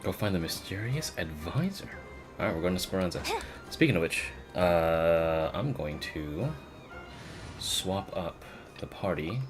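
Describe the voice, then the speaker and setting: A man's voice: sits low, animated, unhurried, music in the background. A man doing casual gameplay commentary as a streamer, thinking aloud in an unfiltered way. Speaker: streamer playing a video game.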